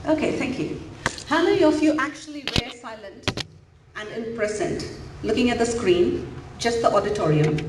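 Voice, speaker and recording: A woman's voice: a little high, lively intonation, moderate pace, very noisy. A woman from India giving an interactive mindfulness talk to parents in a school auditorium, encouraging and asking the audience questions. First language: an Indian language. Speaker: mindfulness coach. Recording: echoey hall, faint room ambience.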